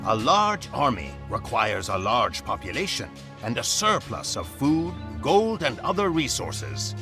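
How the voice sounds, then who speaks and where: An actor playing a solemn military mentor, speaking in a measured, formal, instructive way for a strategy game. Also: male voice, medium pitch, huge pitch swings, music in the background. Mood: stern, instructive. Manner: measured, instructive, formal, dramatic pauses before sayings. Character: solemn male military mentor quoting ancient Chinese strategy. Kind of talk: scripted game narration.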